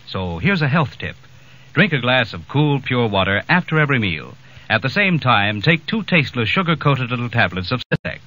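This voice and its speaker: A man's voice: mid-range voice, big pitch swings, moderate pace, pushed and bright. A man reading a scripted 1940s radio commercial as announcer. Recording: dull 1940s radio recording.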